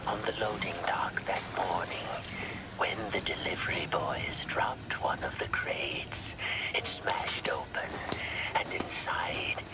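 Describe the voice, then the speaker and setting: Creepy game villain, low whispery voice, real slow n theatrical, bit far from mic. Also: male voice. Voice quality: breathy, whispery. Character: scheming, contemptuous male villain hungry for hidden secrets. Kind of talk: acted audio log in a horror video game.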